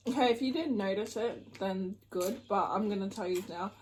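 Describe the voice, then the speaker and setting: A woman's voice: medium pitch, normal speed. A young woman chatting casually with her mother on camera, teasing her and laughing often. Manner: teasing, playful, laughs a lot. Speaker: vlogger's daughter, co-host. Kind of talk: casual chat while eating.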